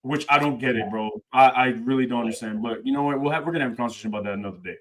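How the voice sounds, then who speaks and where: A man co-hosting a casual podcast, talking sports and debate in an animated way and saying "bro" a lot. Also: mid-range voice, rapid-fire, low-quality recording. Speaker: podcast co-host.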